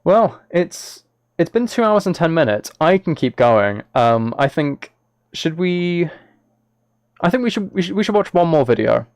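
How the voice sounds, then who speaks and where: A man's voice: on the high side, very animated, unhurried, darkish tone, dry recording. A man live streaming, talking casually and a little hesitantly to his viewers as he reads chat and reacts.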